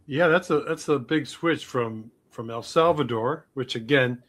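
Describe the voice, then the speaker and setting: A man's voice: mid-range voice, unhurried, fairly bright tone. A man co-hosting a podcast, introducing a guest in a rambling, conversational way. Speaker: podcast co-host. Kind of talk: casual podcast talk.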